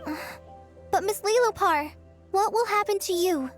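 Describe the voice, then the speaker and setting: An actress playing a gentle, humble young woman in a video game, speaking in an earnest, polite and hesitant way. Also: very high voice, moderate pace, soft background music. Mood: hesitant, worried.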